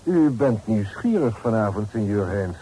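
An actor playing a formal, guarded father who rents rooms, in a measured, haughty radio drama style. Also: fairly low pitch, expressive, mellow, husky. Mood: cold, defiant. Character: dignified, guarded father renting rooms, coldly defiant. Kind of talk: Dutch period radio drama acting. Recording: noisy old radio play recording.

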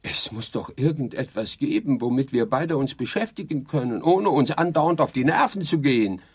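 A man's voice: medium pitch, lively intonation, moderate pace, slightly rough. An actor playing an exasperated, impatient man trapped in the afterlife in a radio drama, speaking emphatically. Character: exasperated newly dead man trapped with his tedious companion. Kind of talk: theatrical radio drama dialogue.

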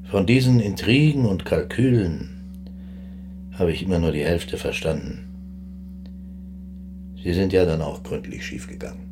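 Radio play: embittered military commander, contemptuous and very low in pitch, guitar music underneath. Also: male voice, a little slow, pauses often. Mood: resigned, bitter. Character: embittered German army general recalling political intrigues.

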